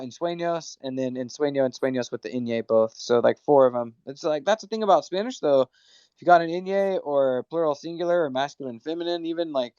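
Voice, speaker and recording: A man's voice: medium pitch, a little mellow, smooth, dry recording. A man hosting a casual online audio chat, talking in a loose, rambling way with lots of fillers. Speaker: host. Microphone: remote call audio. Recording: dull lo-fi online call audio.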